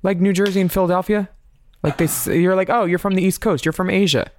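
A man's voice: high voice, fairly even intonation, quick pace, slight background noise. A man hosting a casual recap podcast, campy and snarky, often laughing at his own jokes. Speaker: podcast host.

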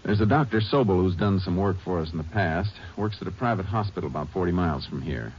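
An actor playing a laconic Texas Ranger who investigates and narrates, in a measured American voice in 1950s radio drama style. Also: male voice, deep voice, expressive, a little mellow, a little hiss or hum. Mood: calm, serious. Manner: measured, matter-of-fact. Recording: muffled old radio recording, music behind.

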